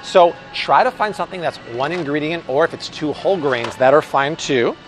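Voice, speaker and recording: A man's voice: on the high side, moderate pace, soft background music, poor audio. An American man in his thirties, upbeat and chatty, giving a casual, enthusiastic product review as a vlog host in a supermarket. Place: large supermarket aisle, indoors. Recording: clear store ambience and hum.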